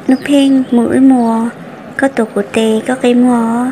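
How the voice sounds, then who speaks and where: A woman's voice: fairly high pitch, flat delivery, moderate pace, mellow, a little hiss or hum, natural voice. A woman voicing an adult woman in a folk-tale cartoon, in plain conversational speech. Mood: talking. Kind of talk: cartoon voice acting. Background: music.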